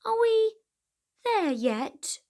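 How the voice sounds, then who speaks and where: A woman's voice: extremely high pitch, sing-song, extremely slow, mellow, voice pushed up, full character voice. A woman voicing a stroppy, bored Viking in a storybook, moaning and grumbling. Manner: moaning, whiny.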